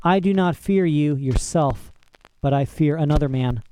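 Old storybook emperor, voiced by a male reader: grave, measured, regretful authority, warm dark timbre. Light background noise. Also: fairly high pitch, lightly acted, rough recording. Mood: sad, resolute. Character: solemn old emperor, regretful yet coldly commanding man.

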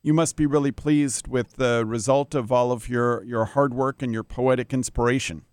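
A man hosting an interview, speaking in measured, formal sentences with occasional fillers. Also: mid-range voice, steady tone, darkish tone, smooth, no room sound. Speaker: interview host. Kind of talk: radio-style interview.

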